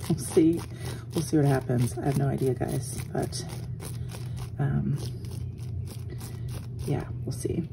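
A woman with a hoarse morning voice, chatting casually as she walks through a craft tutorial at her desk, often trailing off into soft murmurs. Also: very deep voice, fairly even intonation, takes it slowly, long pauses, background noise. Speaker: art journaling instructor. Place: home craft desk, indoors.

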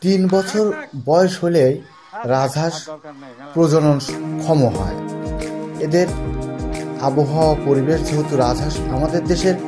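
A young man narrating and interviewing in a measured, informative way, speaking into a handheld mic outdoors at a goose farm. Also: a little high. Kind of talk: read narration and field interview. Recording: outdoor voice with music bed.

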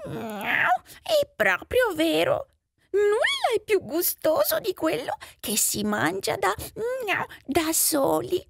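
Lady doing a greedy sneaky cartoonish storybook cat, super high, swoops all over, meows mid sentence. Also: wildly swooping pitch, takes it slowly, gravelly, no room sound, exaggerated voice. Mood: smugly satisfied. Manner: punctuates speech with meows and purring hums. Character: sly, greedy, self-satisfied male cat of fairy tale.

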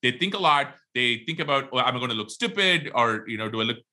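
A middle-aged man with an Indian accent, a podcast guest talking quickly and animatedly on a video call from a home room. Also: mid-range voice. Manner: quick, animated, many fillers. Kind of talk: casual interview talk. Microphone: headphones, video call.

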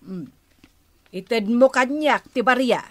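Casting: bossy, put-upon village wife, Ilocano accent, highish voice, dry close radio comedy sound. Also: female voice, slow pace, fairly bright tone, dry recording. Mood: firm. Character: aggrieved village wife in debt, bossy with husband.

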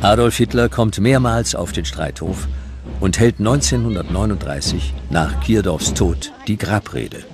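Very deep-voiced male documentary narrator. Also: animated, a little slow. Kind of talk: scripted historical documentary narration. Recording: music bed under the voice. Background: background music.

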